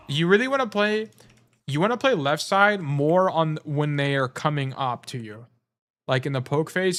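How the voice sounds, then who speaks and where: Guy coaching on stream, losing his voice, kinda high pitched, rambly and chill. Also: a bit bright. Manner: casual, rambling, filler-heavy explanations. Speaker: game coach. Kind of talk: live-stream gameplay review.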